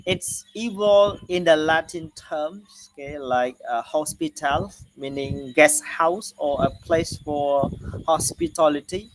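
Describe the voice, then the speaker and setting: A male lecturer with a Khmer accent talks slowly and unhurriedly, pausing often and filling gaps with "uh" and "okay." His voice sits slightly above the usual male pitch, and he explains things step by step in an online class. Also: no echo, rough recording. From Cambodia. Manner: slow, halting, many "uh" and "okay" fillers.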